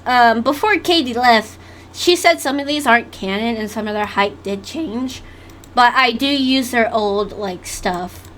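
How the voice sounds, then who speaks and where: A woman's voice: on the high side, unhurried, a bit bright, slight background noise. A woman giving casual reaction commentary on her own animation, giggly and rambling. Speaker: animator reviewing own old work.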